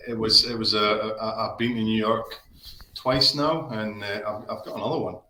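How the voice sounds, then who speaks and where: A man's voice: on the low side, moderate pace, sharp tone, reverberant, a little hiss or hum, rough recording. Chatty Aberdeen Scotsman on a video call. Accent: Aberdeen Scottish. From Scotland. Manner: chatty, rambling with many restarts and fillers. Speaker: guest. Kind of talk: casual live-stream chat. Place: home office.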